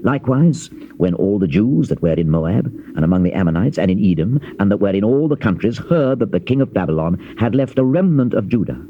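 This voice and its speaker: A man giving a solemn, measured, dramatized narration of scripture in archaic King James English. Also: lowish voice, expressive. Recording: dull, hissy old cassette tape transfer.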